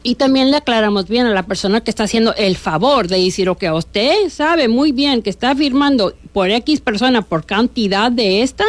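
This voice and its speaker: A chatty middle-aged woman with a northern Mexican accent, talking with plenty of fillers and self-corrections. Her voice sits a bit high and sounds dry and close to the mic, with no room around it. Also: animated, moderate pace, hardly any pauses, dry recording. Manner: chatty, frequent fillers and restarts. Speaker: bail bond agent guest. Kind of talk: casual radio interview.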